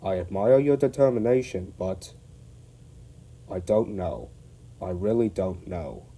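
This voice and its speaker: A man's voice: deep voice, expressive, measured pace, dark tone. A man voicing a stern, pompous male railway boss who speaks formally.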